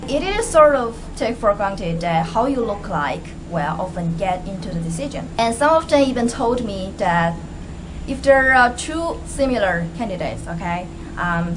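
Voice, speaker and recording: Young woman, Chinese first language, tired, blunt opinion vlog, small room, background hiss. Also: medium pitch. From ethnically Korean. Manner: blunt, candid, emphatic. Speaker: vlogger. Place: small indoor room, plain wall.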